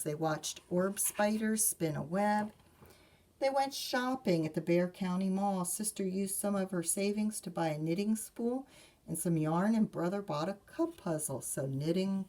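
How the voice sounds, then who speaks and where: Female children's librarian, storytime read-aloud, slightly low creaky voice, expressive, speaks straight to the kids. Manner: expressive reading, talks directly to young listeners.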